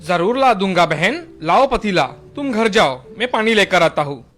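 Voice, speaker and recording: Man playing a high-voiced greedy cartoon butcher. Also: animated, normal speed, a bit bright, faint music behind. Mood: obliging. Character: opportunistic male village butcher, obliging but greedy. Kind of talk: exaggerated cartoon dialogue.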